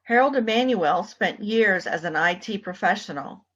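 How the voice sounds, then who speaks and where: A woman's voice: mid-range voice, animated, normal speed, a bit bright, slightly rough. A woman reading a scripted introduction as a program announcer. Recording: slightly muffled, dull sound.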